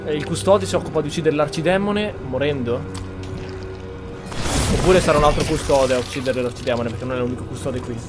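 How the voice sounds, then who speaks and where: A man's voice: a little high, fast talker. A man with an Italian accent giving roleplay commentary as host of a gameplay video. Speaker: gaming channel host. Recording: game music clearly behind.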